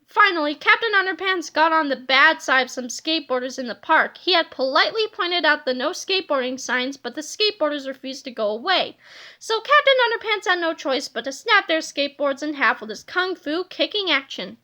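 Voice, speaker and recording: A woman narrating a children's storybook aloud in an expressive, scripted reading style. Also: high voice, a little fast.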